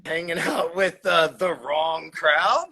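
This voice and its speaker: A man's voice: a little high, slow pace, pushed and bright, breathy edge. A man in his forties from Florida, telling his story as a podcast guest in a laid-back, swearing, laughing way. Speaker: podcast guest, cannabis grower. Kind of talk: casual podcast chat.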